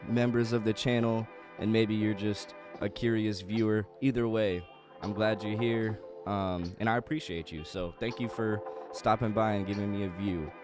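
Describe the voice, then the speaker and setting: A man's voice: fairly low pitch, steady tone, moderate pace, music in the background. Congested, slightly nasal young man. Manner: rambling with many fillers and restarts. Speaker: music producer. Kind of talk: casual studio walkthrough.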